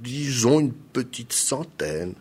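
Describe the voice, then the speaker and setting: A man's voice: medium pitch, sing-song, takes it slowly, darkish tone, husky, voice pushed up, full character voice. A man voicing a sly, unflappable tradesman in a folk tale, politely deferential yet a little impatient as he explains. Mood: scolding, matter-of-fact. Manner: polite, patient explaining with hesitant ers and mild impatience. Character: sly, unflappable itinerant hair-planting tradesman, falsely deferential.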